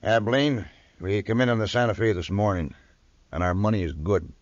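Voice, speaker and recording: Low-voiced, brusque western stranger played by a man. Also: fairly quick, dry recording, lightly acted. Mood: confident, pushy. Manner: curt, rustic speech. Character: brusque, domineering out-of-town western stranger with money. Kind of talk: 1950s radio western acting. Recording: dull, dry old radio recording.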